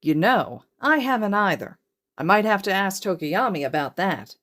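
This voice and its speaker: A narrator voicing a loud, dramatic and playful man in an audiobook story. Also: medium pitch, very animated, moderate pace, pitched above the performer's own voice. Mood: upbeat. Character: loud, dramatic, theatrical adult man, hero teacher. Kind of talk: audiobook character voice.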